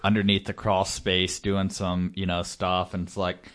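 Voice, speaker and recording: A man's voice: sits low, monotone, a little slow, a bit bright. A man co-hosting a horror film podcast, chatting informally in a meandering way with lots of "you know". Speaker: podcast co-host reviewing horror films. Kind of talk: casual chat, film commentary.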